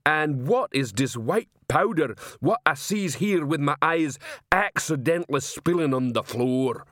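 Guy putting on a big gruff troll cop for an audiobook, growly bully, sarcastic, bad grammar, pitched up from his narrating. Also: animated, unhurried, fairly bright tone, dry recording, studio quality. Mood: mock innocent. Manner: menacing, broken grammar, heavy sarcasm.

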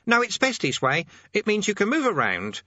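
A man voicing a squeaky, eager young boy demonologist who blusters pompously and hesitates, in a storybook reading. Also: huge pitch swings, normal speed, sharp tone, no room sound, muffled recording, full character voice. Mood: eager, chatty. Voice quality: squeaky, high-pitched.

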